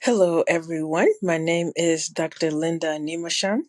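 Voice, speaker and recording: Measured woman guest, faint Ghanaian-tinged accent. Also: low-pitched, steady tone, darkish tone, no echo, clean studio sound. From New Jersey, family from Ghana. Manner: measured and thoughtful, with occasional restarts. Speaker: public health evaluator, podcast guest. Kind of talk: conversational interview answers.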